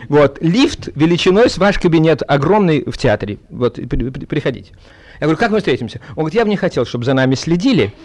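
A man speaking to an audience in an anecdotal, theatrical way, with halting, digressive phrasing. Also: fairly high pitch, big pitch swings, a little mellow. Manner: theatrical storytelling, acting out dialogue. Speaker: theatre colleague giving a reminiscence.

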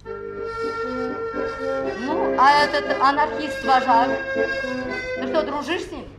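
An actress playing a stern woman commissar in a 1960s film, calm, probing and commanding. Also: sits high, very slow, full character voice. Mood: firm, probing. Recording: roomy old film soundtrack with music.

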